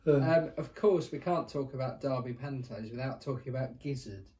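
A middle-aged British man co-hosting a relaxed chat, telling anecdotes while seated in a small room. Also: mid-range voice, normal speed, slight background noise. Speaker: co-host reminiscing about pantomime work. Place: small bare indoor room, seated at a table.

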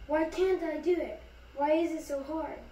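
An earnest, unsure little boy from a storybook, voiced by a woman reader, high and soft-toned. He speaks wistfully and hesitantly, a bit off the mic in an echoey room. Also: fairly even intonation, moderate pace, close to an everyday voice. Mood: frustrated. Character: earnest, unsure young schoolboy who loves stories. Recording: echoey room, distant mic, faint noise.

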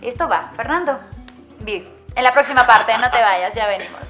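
A woman in her thirties hosting a celebrity interview indoors, bubbly and quick to chime in. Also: on the high side, lively intonation, over music. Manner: bubbly, chimes in often with yay and wow. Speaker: host. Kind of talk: entertainment interview. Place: indoor lounge on a leather sofa.

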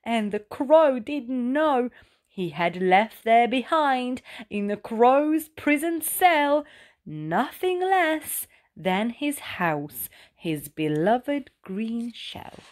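A woman narrating a rhyming children's storybook aloud with dramatic, deliberate phrasing. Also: a little high, very animated, a little mellow.

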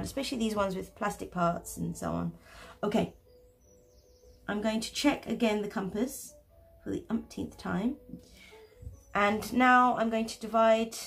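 A woman's voice: medium pitch, creaky. A woman talking viewers through drawing steps at her desk, chatty and self-deprecating. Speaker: art teacher. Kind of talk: step-by-step drawing tutorial.

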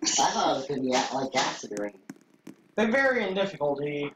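A woman's voice: low-pitched, very animated, fairly quick. A woman chatting and explaining things casually while playing a game with friends. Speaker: gamer. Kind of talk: casual gameplay chat. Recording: roomy, distant mic, faint noise.

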